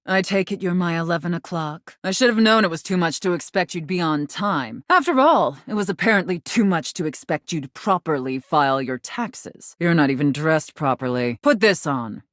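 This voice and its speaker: A woman's voice: fairly low pitch, lively intonation, normal speed, few pauses, fairly bright tone, no echo, studio quality. A woman playing a haughty, domineering, condescending mistress in a video game, speaking with formal, imperious, disdainful diction. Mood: stern, officious. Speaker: voice actor in a dating simulator game. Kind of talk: theatrical video game character acting.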